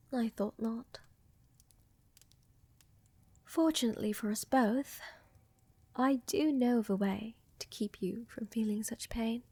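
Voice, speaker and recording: Woman playing a slow, breathy, seductive vampire countess. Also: a little high, dry recording. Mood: teasing, amused. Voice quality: soft, breathy, often dropping to a whisper. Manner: slow, teasing, assured, formal wording. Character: seductive, tender vampire countess soothing her lover. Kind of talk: intimate roleplay ASMR, soft dominant. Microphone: close microphone, dry.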